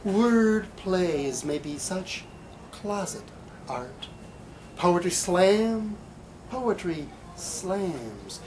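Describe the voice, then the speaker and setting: A man giving a live poetry reading in a bookstore, with halting, deliberate delivery, in an amateur recording. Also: high-pitched, sing-song, a little mellow, slight background noise, rough recording. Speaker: poet. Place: bookstore reading event, indoors.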